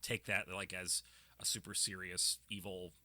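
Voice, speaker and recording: A man's voice: low-pitched, little pitch movement, normal speed, sharp tone, no echo. A man co-hosting a podcast in casual chat, joking and ranting with energy. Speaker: podcast co-host.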